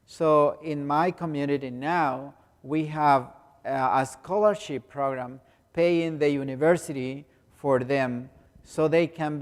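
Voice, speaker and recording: A Salvadoran pastor, a man who grew up speaking Spanish, talking in halting English with a Spanish accent and many restarts. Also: medium pitch, big-room sound. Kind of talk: speaking to a congregation in non-native English.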